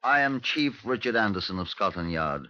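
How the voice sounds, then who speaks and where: An actor playing a sober, dry British police inspector in a 1950s radio drama, clipped and matter-of-fact. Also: male voice, on the low side, lively intonation, normal speed, pushed and bright. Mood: grave. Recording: dull old radio recording.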